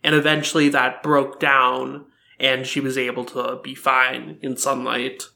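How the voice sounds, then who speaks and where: A man's voice: mid-range voice, monotone, unhurried, gravelly, bright, forceful tone. A man in a casual fan discussion as a podcast co-host, reasoning things out in an explanatory way.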